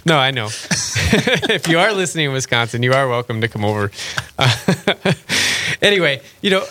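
A man hosting a radio talk show speaks with a bright, ringing tone. He chats in a loose, friendly way and laughs easily at his own jokes. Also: medium pitch, sing-song, a little fast. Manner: chatty, self-deprecating, laughs easily. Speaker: radio host. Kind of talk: casual faith radio chat.

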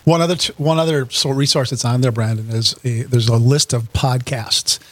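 A man's voice: mid-range voice, steady tone, brisk. A man as a guest on a radio talk show, speaking earnestly with dry humor and frequent restarts. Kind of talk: casual radio conversation.

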